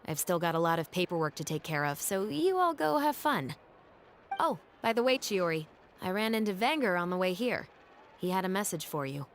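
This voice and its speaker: A formal, composed patrol officer. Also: female voice, lowish voice, very animated, normal speed, a little hiss or hum. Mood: relaxed. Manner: formal, composed, lightly weary. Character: dutiful woman officer of the law.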